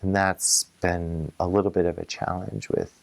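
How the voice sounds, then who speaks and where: A woman reflecting in a personal interview in a measured, thoughtful way, choosing words carefully. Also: rumbling low, level tone, vocal fry. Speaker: local resident interviewee.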